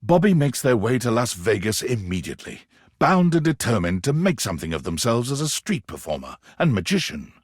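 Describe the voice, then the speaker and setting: A man narrating a video game story in the second person, with measured, theatrical delivery. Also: mid-range voice, very animated, slightly rough, no room sound, clean studio sound.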